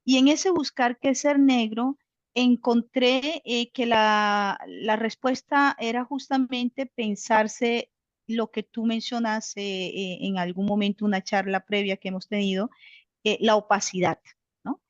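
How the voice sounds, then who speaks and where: A woman's voice: a little high, fairly even intonation, measured pace. A woman talks reflectively, stopping often on fillers and restarting her sentences. Her recording sounds a little dull and close, with no room echo. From Colombia. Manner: thoughtful, many fillers and restarts. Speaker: author. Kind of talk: reflective interview answer.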